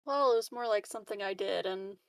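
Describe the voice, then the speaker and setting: A woman's voice: medium pitch, a little fast, gravelly, smooth, dry recording. A woman playing a tabletop roleplaying game, chatting casually in a playful, giggly manner. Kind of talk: casual chat.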